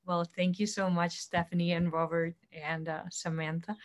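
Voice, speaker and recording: Woman from costa rica, native spanish speaker, lowish voice, talking on a panel about herself. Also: little pitch movement, moderate pace. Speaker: panelist, startup co-founder. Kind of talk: panel discussion, personal account.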